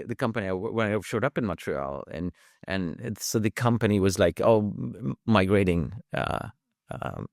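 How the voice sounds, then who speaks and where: Low-voiced man telling his story. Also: creaky, darkish tone, no echo, studio quality. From Lebanon. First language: French. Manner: halting, many restarts and fillers, swears casually. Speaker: podcast guest. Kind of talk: casual storytelling.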